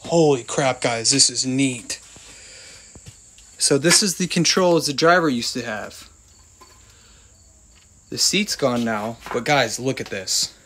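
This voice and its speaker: Excited man exploring abandoned places. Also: mid-range voice, slow pace, pauses often, slight background noise. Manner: excited, keeps addressing viewers as "guys". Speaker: urban explorer vlogger. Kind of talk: walkthrough narration while exploring. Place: abandoned buildings and old vehicles, indoors and out.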